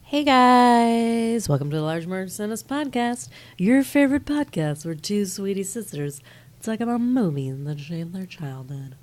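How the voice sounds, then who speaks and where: A woman in her thirties co-hosting a casual movie podcast, stuffy from a cold, chatting in a lively way and swearing casually. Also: medium pitch, unhurried, a little hiss or hum. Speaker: podcast co-host.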